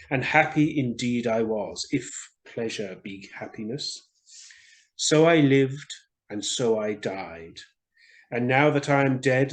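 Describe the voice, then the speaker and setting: A man voicing the gentle, sorrowful statue of a young prince in a storybook, speaking in a low, musical, measured voice. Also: natural voice, poor audio.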